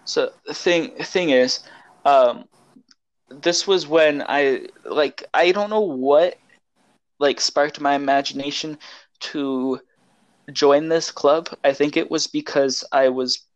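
Young adult man, podcast co-host, casual storytelling, halting and rambling delivery. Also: fairly high pitch. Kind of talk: casual chat, personal storytelling.

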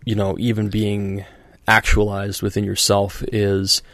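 A man's voice: lowish voice, completely flat delivery, a little slow, a bit bright, no room sound. A man hosting a podcast in casual chat, rambling with many fillers and restarts and acting out quoted voices. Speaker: podcast host.

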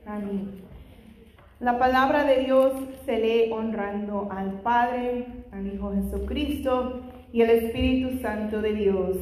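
A woman's voice: fairly high pitch, fairly even intonation. A woman preaching a Bible study in Spanish, her second language, sprinkling in frequent hallelujahs and praises, fervent in prayer. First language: not Spanish. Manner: frequent "aleluya" and "gloria a Dios" interjections; fervent prayer, louder and faster. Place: large echoey church hall. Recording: echoey hall with long reverb.

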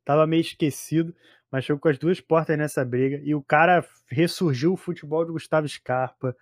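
High-voiced Brazilian man talking football. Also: brisk, no room sound, clean studio sound. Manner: animated, slangy, emphatic, repeats phrases. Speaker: football podcast commentator. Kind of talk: casual football chat.

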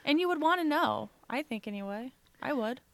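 A woman's voice: high voice, expressive, brisk, creaky, a bit bright, no room sound. A woman co-hosting a podcast, chatting casually in an easygoing, agreeable way. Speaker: podcast co-host. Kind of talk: casual chat.

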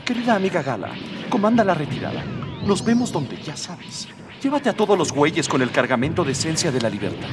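A man's voice: on the high side, very animated, brisk. A man playing an idealistic young rebel prince, earnest and declamatory, in a radio drama. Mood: brisk, exasperated. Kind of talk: Spanish-language radio drama acting. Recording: long reverb, music behind.

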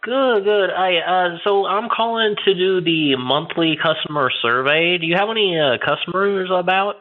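Male prank caller, breezy fake-corporate manner, dull lo-fi audio, slightly high voice. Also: normal speed. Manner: breezy, mock-official phone manner. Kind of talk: prank phone call. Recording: dull, lo-fi sound.

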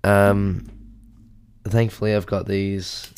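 A young man with a hoarse voice hosting a podcast, delivering a rambling, deadpan, self-pitying comedic monologue into a mic with a pop filter. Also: lowish voice, slow pace. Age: young adult. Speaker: podcast host.

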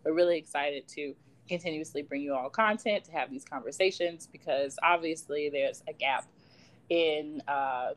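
A woman in her twenties from Chicago, co-hosting a casual fan podcast, bubbly and quick to laugh. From Chicago, Illinois. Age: 20s. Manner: bubbly, laughs a lot, animated swings in pitch and volume. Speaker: podcast co-host. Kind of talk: casual chat.

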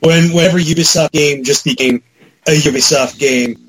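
A man's voice: fairly high pitch, normal speed, fairly bright tone, a little hiss or hum, rough recording. A man talking casually and enthusiastically as a podcast panelist, with some swearing. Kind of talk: casual chat about movies and games.

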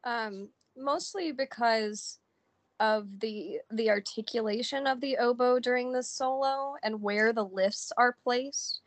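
A woman's voice: fairly high pitch, takes it slowly. Woman horn player discussing symphonies. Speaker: podcast co-host, horn player. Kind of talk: casual music analysis chat.

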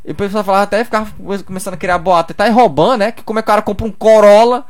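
A man's voice: high voice, steady tone, very fast. A young man in Brazil, telling stories in a loose, slangy casual chat full of "cara". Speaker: podcast guest.

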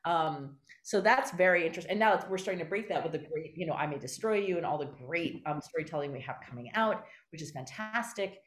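A woman guest playwright talking in a casual conversation, animated and rambling, laughing often. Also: deep voice, fast, hardly any pauses. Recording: roomy echoey sound.

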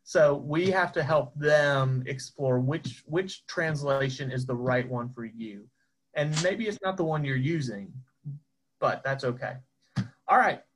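A man teaching a Bible class in a relaxed, conversational way, with asides to his students. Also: medium pitch, a little slow, breathy edge, rough recording. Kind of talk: informal teaching.

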